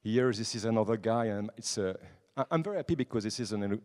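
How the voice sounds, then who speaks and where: A man's voice: on the low side, expressive, fast talker, darkish tone. A man in his twenties with a French accent gives an informal conference talk in English, often restarting and searching for words. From France. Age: 20s. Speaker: conference speaker, night-life association president. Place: large conference hall. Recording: reverberant hall.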